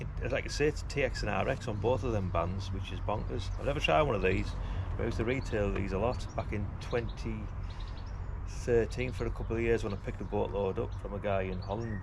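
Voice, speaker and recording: Low gravelly man, chatting casually. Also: noisy background. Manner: chatty, rambling, repeats himself. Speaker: radio hobbyist vlogger. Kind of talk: casual unscripted product unboxing commentary.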